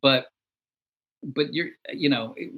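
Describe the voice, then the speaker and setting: A man's voice: medium pitch, takes it slowly, slightly rough, lo-fi sound. A man being interviewed as a songwriter guest, talking in a reflective, conversational way. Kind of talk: casual conversation.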